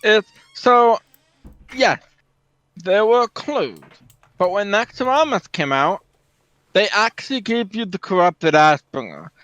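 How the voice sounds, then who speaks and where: A man's voice: high voice, expressive, takes it slowly, long pauses, a bit bright, no echo. A man chatting casually about video games in a matter-of-fact way, on a dull, lo-fi voice track.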